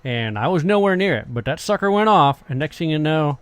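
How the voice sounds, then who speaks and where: A male podcast host in his late forties with a fairly high-pitched voice for a man. He talks casually, like someone swapping stories with a friend. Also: animated, fairly quick, smooth. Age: late 40s. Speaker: podcast host, paranormal investigator. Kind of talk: casual conversational storytelling.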